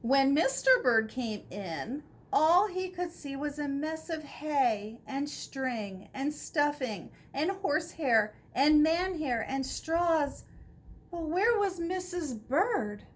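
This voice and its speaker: A woman narrating a children's picture book aloud in an expressive storytelling style. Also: sits high, slow. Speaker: storybook reader.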